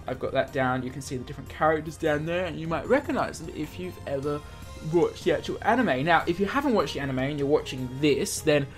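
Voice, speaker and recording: A man with a slightly high voice hosts a let's play of a visual novel. He reads the game's text aloud and comments on it informally. Music plays softly behind him. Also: expressive, fast talker. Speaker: gaming video host.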